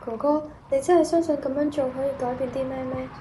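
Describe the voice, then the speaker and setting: Anxious, caring younger sister played by a woman, high register, faint background noise, pleading radio drama delivery. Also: fairly even intonation, quick pace, mellow, natural voice, poor audio. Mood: worried. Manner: worried, pleading. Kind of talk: amateur Cantonese radio drama acting.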